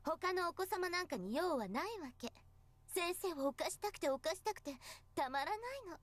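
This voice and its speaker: A woman's voice: very high-pitched, expressive, fast talker, dry recording. A woman voice actor playing a haughty, scornful teenage schoolgirl in a Japanese visual novel, mocking and condescending. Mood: smug, taunting.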